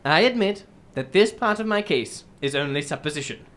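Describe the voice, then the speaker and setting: A woman playing a courteous, self-assured gentleman detective, composed, deliberate and formal, in an old-time radio drama. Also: low-pitched, animated. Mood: confident reasoning.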